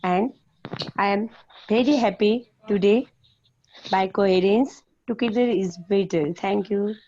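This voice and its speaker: A woman's voice: medium pitch, a little slow, mellow. A woman from Nepal with a Nepali accent, a club member giving a short self-introduction. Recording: dull online call, faint noise, clicks.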